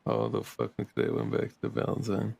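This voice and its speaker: A man in a roleplay game, talking in quick, dry remarks. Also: rumbling low, big pitch swings, gravelly, darkish tone, slight background noise. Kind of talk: in-character roleplay chat. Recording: game horse sounds behind. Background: horse hooves from the game.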